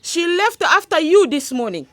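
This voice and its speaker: A woman's voice: very high-pitched, big pitch swings, moderate pace. An actress in a radio drama playing an older village mother-in-law, weary and scolding in manner. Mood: weary, disapproving.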